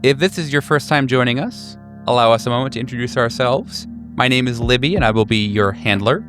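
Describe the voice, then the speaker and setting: A man's voice: medium pitch, fairly quick, music in the background. A man hosting a tabletop role-playing podcast as game master, introducing the show and prompting players.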